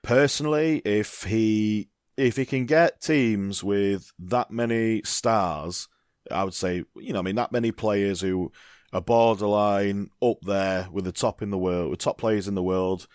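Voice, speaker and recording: Low-voiced man rambling about football. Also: measured pace, darkish tone, clean tone, dry recording, clean studio sound. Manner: rambling, repeats "you know, I mean". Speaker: football podcast co-host. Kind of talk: casual chat.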